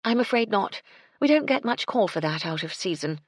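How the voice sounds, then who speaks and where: A woman voicing a composed, polite female hotel owner with a prim, measured manner, in an audiobook. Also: mid-range voice, lively intonation, no room sound, studio quality, pitched above the performer's own voice, natural voice. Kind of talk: audiobook character voice.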